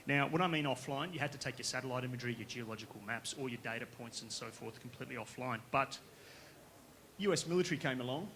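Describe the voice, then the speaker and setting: A man from Western Australia with an Australian accent, giving a conference pitch as a company founder, casual and conversational, often checking in with the audience. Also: quick pace, fairly bright tone. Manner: casual, conversational, with frequent tag questions. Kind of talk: conference presentation. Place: conference stage.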